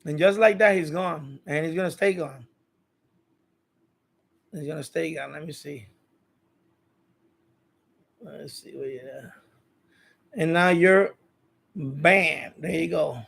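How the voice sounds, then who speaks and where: A man's voice: fairly high pitch, slow, gravelly, pauses often, a bit bright, husky. A man hosting a casual live stream, talking informally to his chat in a rambling way and often repeating his phrases. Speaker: live stream host of a radio hobby channel.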